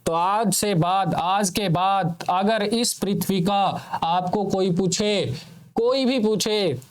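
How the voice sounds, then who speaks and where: A man's voice: high voice, takes it slowly. A man teaching a lesson to students in speech, repeating his points and asking them questions that he answers himself. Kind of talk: classroom lecture for students.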